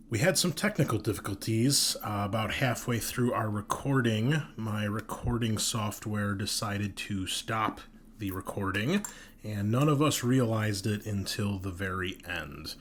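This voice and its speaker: A man with a low voice recaps a tabletop game session as its host. He explains events step by step in a measured, matter-of-fact way, without a script. There is faint noise in the recording.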